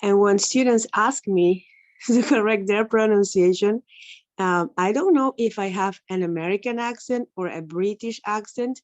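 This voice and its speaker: A woman's voice: mid-range voice, moderate pace. A woman with an Ecuadorian accent, a native Spanish speaker who teaches English, thoughtfully sharing a view in a webinar Q&A over a video call. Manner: thoughtful, self-correcting, gently explanatory. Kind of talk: spontaneous comment in a Q&A. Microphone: computer mic on a video call, camera off. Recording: muffled lo-fi call audio.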